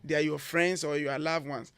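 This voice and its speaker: Earnest Ghanaian man, bright voice. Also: on the high side, flat delivery, moderate pace, smooth, no echo. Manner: earnest, emphatic, lists points. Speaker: guest, education agency head. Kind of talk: radio interview advice. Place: radio studio.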